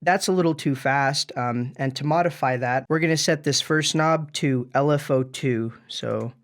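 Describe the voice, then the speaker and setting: A man with a mid-pitched voice walks through a piece of music gear step by step. He speaks casually and informally, like a presenter guiding someone who is following along, with easygoing instructions. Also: moderate pace.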